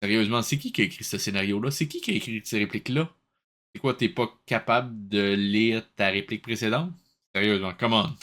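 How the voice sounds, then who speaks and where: Bright-voiced Québécois man, exasperated commentator. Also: on the low side, a little fast. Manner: animated, incredulous, colloquial. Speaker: host of a reaction show. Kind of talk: casual exasperated running commentary.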